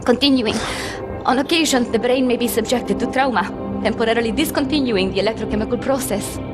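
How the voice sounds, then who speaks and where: Actress as high-pitched, scornful, unstable woman genius. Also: quick pace, over music, close to an everyday voice. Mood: intense, pedantic. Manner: pedantic, clinical lecturing with contemptuous asides. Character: eccentric, unstable, brilliant woman, scornful and coldly logical. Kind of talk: video game voice acting.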